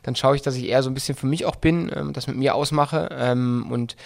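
A middle-aged man with a light Berlin accent, a football coach talking in a casual interview, halting with many restarts and fillers. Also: mid-range voice, fairly even intonation, creaky. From Berlin-Brandenburg.

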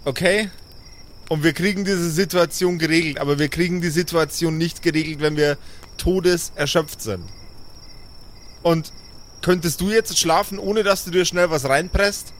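Man playing an exasperated higher-voiced adventurer, crickets behind. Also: steady tone, normal speed, slight background noise, close to an everyday voice. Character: exasperated, caring male adventurer, pleading for reason. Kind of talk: improvised tabletop roleplay audio play.

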